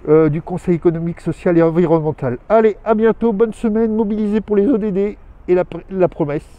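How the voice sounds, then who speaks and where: A man's voice: high-pitched, quick pace, phone-quality sound. A man hosting a weekly live video outdoors, talking casually in a rambling, hesitant way with many fillers, recorded on a handheld phone or camera. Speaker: host of weekly live show. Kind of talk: casual live vlog update. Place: outdoors in town streets.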